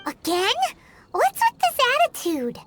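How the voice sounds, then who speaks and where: Squeaky, excitable little companion. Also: female voice, unhurried, very noisy, exaggerated voice. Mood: exasperated. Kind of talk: English video game dub acting.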